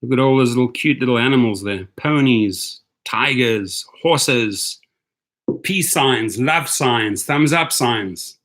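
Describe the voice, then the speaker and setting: A man's voice: mid-range voice, takes it slowly. A man hosting a casual livestream, upbeat, greeting viewers and repeating his phrases. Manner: upbeat, repeats phrases, gives shout-outs. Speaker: livestream host.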